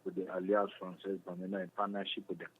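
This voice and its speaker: A man with a Cameroonian accent answering interview questions in a halting, repetitive, earnest explaining way. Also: low-pitched, monotone, a little hiss or hum. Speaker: interview guest, stage actor. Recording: muffled lo-fi radio phone call.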